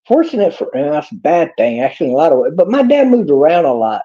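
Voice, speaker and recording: A man's voice: on the high side, very animated, quick pace, mellow. A man with a Texas accent giving a rambling, preachy monologue in a folksy drawl. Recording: dull lo-fi clip.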